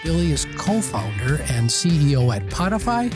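A man's voice: a little high, big pitch swings, normal speed. A man hosting a podcast, reading a polished scripted intro and asking interview questions in a friendly way. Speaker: podcast host. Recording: music bed underneath.

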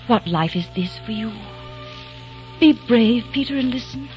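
A woman's voice: a little high, animated, measured pace, darkish tone. A woman acting a young eighteenth-century English lady in a 1940s radio drama, earnest and pleading. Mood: tender. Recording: dull old radio broadcast with music.

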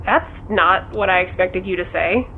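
An actress playing a skeptical, teasing confidante in a naturalistic audio drama, wry and questioning, heard through a phone call. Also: female voice, on the low side, moderate pace. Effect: phone call filter. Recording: dull phone call over vehicle hum.

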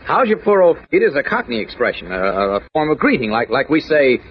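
An actor playing a quick-witted, level-headed male reporter hero in a 1940s radio serial, explaining and coaxing. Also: on the high side, very animated, slight background noise. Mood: reasoning, earnest. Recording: dull old radio broadcast recording.

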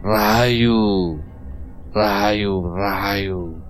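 A man's voice: lowish voice, fairly even intonation, over loud music. A man playing a grave old village mystic. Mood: solemn. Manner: slow, solemn counsel. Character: old grandfather mystic healer, calm and grave. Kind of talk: amateur audio drama acting.

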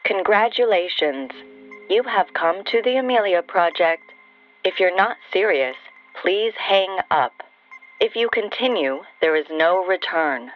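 An actress playing a cool, ominous answering-machine greeter for a secret agency, measured and cryptic, in an audio drama. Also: female voice, on the low side, animated, highs cut off, soft background music.

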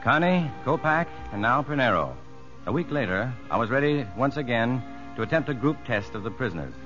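A male actor playing a calm, patient prison doctor who narrates his story in a 1950s radio drama. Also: mid-range voice, fairly quick, close to an everyday voice. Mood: measured narration. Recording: muffled old radio broadcast, faint music.

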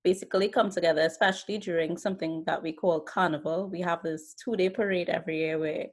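A young Trinidadian woman, in her twenties, talks through her life story in a calm, reflective interview. Her voice sits a little low. She fills her pauses with small hesitations. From Trinidad and Tobago. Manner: relaxed, thoughtful storytelling with fillers. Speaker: artist guest. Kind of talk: casual interview.

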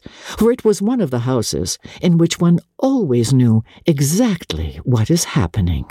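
Slow, husky woman reading dramatically. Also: very deep voice, dark tone, no echo, studio quality. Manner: measured, theatrical, with deliberate pauses. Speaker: narrator, audiobook reader. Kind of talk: dramatic literary narration.

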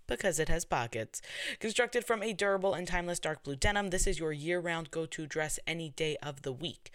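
Low-voiced New York woman host. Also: fairly bright tone. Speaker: podcast host. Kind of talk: scripted intro, then interview.

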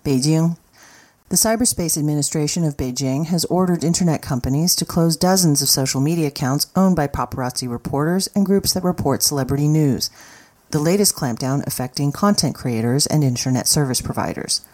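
A news reader with a medium-pitched voice narrates a written report. The delivery is scripted news narration. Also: brisk, darkish tone.

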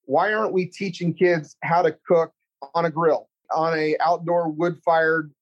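A man's voice: on the high side, level tone, measured pace. Earnest middle-aged Texan man, muffled. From Central Texas. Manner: earnest, rambling, many restarts and fillers. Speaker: culinary teacher and chef. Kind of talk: casual interview chat.

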